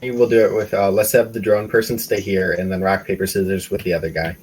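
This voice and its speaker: A man with a deep, rough-edged voice talks quickly. He is a player in a tabletop game, casually laying out plans to the group. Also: flat delivery, darkish tone. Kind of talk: casual game planning chat.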